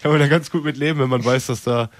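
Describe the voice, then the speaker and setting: A male radio host with a mid-pitched voice, recorded dry and close. He chats casually and rambles along in slangy, joking banter. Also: fairly even intonation, fast, no room sound.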